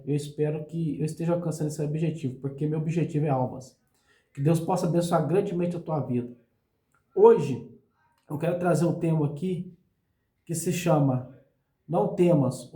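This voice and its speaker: Hoarse pastor guy preaching slow and earnest, kinda high voice but mellow. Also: roomy sound. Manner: earnest, slow preaching with frequent "meus irmãos". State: hoarse from a cold. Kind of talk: sermon for an online channel.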